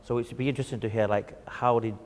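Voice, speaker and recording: Fast-talking male talk show host. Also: mid-range voice, steady tone, long reverb tail, a little hiss or hum. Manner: fluent, quick, uses Arabic greetings. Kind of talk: studio talk show interview. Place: TV studio.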